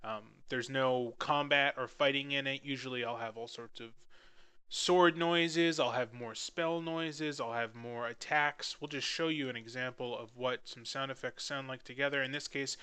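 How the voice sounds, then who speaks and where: Bright, clear-voiced young man, casual. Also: medium pitch, fairly even intonation, normal speed. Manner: self-deprecating, offhand. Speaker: audio drama editor giving a tutorial. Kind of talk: casual tutorial narration.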